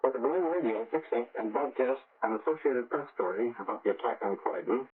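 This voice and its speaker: A man giving a scripted 1940s radio news report as a correspondent, measured and careful to make figures clear. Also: medium pitch, animated. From United States. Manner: measured, repeats figures for clarity. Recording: crackly, phone-like 1940 shortwave radio relay.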